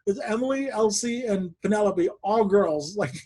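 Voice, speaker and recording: A man hosting a podcast over a video call, telling stories in a relaxed chat and laughing often. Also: high voice, moderate pace. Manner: laughs often, animated storytelling. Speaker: podcast host. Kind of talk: casual chat.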